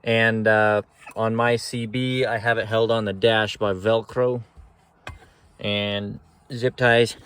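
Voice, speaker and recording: A man's voice: fairly low pitch, little pitch movement, takes it slowly, fairly bright tone, clear, resonant tone, dry recording. A man hosting a casual how-to tutorial from inside a truck at night, rambling and grumbling at his gear. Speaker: channel host showing truck repairs.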